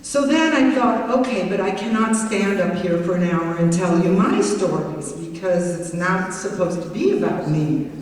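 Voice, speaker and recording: A woman's voice: on the low side, expressive, moderate pace, few pauses, far from the mic, slight background noise. Woman telling stories in an echoey hall. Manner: warm storytelling with self-deprecating humor. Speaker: judge giving a tribute speech. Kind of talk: banquet tribute speech. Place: hotel banquet hall. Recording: echoey hall recorded from afar.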